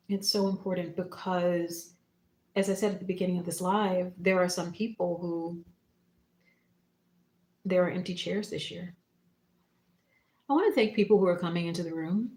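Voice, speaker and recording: A woman hosting a spiritual livestream, talking casually and reflectively in short phrases and gentle prompts with pauses. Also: fairly low pitch. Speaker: psychic medium and spiritual teacher hosting a livestream. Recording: roomy, echoing room sound.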